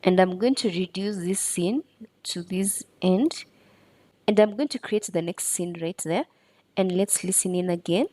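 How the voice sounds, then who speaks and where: Woman tutorial presenter, mellow and relaxed tone, dry close sound, methodical instructional delivery. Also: on the low side, measured pace, no room sound. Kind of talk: step-by-step screen tutorial narration.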